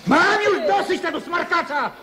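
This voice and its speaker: A man's voice: very high-pitched, animated, normal speed, over a noisy crowd, very noisy. A man playing a stern, irate factory foreman in a radio drama. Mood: angry, scolding.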